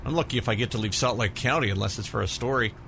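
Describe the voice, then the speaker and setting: A man's voice: mid-range voice, very fast, gravelly, fairly bright tone, slight background noise. A man playing a tired, agreeable news cameraman in a scripted radio drama. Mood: resigned, friendly.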